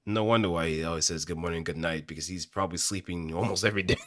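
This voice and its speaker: A man's voice: sits low, fairly even intonation, quick pace, a bit bright, no room sound. A man chatting casually to viewers on a close microphone, thinking aloud in a hesitant, rambling way. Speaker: aspiring amateur voice actor. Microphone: close studio microphone, dry.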